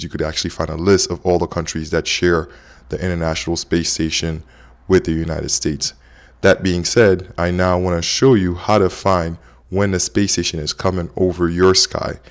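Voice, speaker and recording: A man in his thirties from Haiti, hosting a casual explainer vlog in a conversational way. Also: deep voice. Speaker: host, app co-founder.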